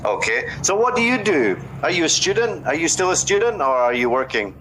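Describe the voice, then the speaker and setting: Bright-toned man tutoring on a call. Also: on the high side, sing-song, slight background noise, poor audio. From Scotland. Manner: patient, encouraging, explains words slowly. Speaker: online English tutor. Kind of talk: casual conversation lesson. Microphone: video call.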